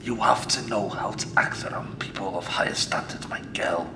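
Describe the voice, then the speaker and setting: A man's voice: fairly low pitch, moderate pace, gravelly, sharp tone. A man playing a fussy, status-conscious older father in a radio play, polite but grumbling, with formal old-fashioned phrasing. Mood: hushed. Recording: heavy ambient noise behind the voice.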